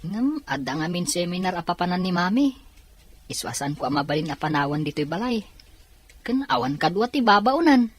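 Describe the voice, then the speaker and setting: Woman acting a husky, concerned young cousin. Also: lowish voice, sing-song, a little fast. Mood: casual. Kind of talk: Ilocano audio drama acting.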